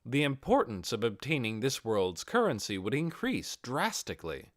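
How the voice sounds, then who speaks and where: Male narrator for a fantasy novel. Expressive, animated delivery at mid pitch, recorded dry. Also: normal speed, dry recording. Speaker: audiobook reader.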